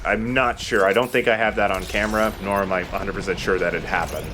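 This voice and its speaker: A man's voice: on the low side, steady tone, quick pace. A man giving casual, dryly joking commentary on a video game, with informal wording and some mild swearing. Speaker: let's play host. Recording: clear background noise.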